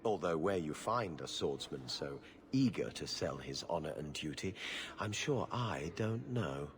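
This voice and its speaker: An actor playing a prim, middle-aged government official in a video game, speaking in a formal, measured and dryly disdainful way. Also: male voice, very deep voice, animated, a little hiss or hum. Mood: guarded, disdainful. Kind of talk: video game cutscene acting. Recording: echoey hall reverb.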